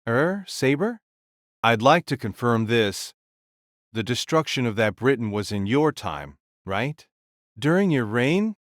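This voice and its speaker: A blunt, bold conqueror king acted by a man for an audio drama. He speaks with weighty, deliberate firmness and big swings in pitch. The sound is very dry. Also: big pitch swings, a bit bright, no room sound. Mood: puzzled.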